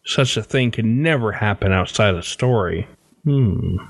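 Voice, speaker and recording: A man's voice: lowish voice, expressive, unhurried, no echo. A man hosting a podcast, reading a scripted show outro. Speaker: podcast host. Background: faint music.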